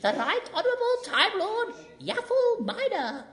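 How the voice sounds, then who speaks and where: A man's voice: extremely high pitch, big pitch swings, unhurried, a bit bright, exaggerated voice. A man playing a pompous, blustering parliamentary official in a radio drama, with formal, self-important speech. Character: blustering pompous parliamentary Chancellor calling for order. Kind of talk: comic radio drama acting. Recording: echoey chamber sound.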